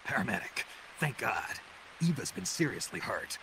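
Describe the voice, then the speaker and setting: An actor playing a gruff, battle-worn American soldier, loyal and urgent, in a video game. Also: male voice, fairly low pitch, very animated, moderate pace, slight background noise, heavily acted, rough recording. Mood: anxious. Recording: game audio under loud music.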